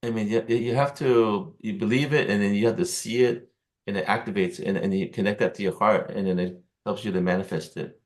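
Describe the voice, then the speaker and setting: Low-voiced male interview host. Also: fairly even intonation, fairly quick. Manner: supportive, stumbles and repeats words. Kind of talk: casual interview chat.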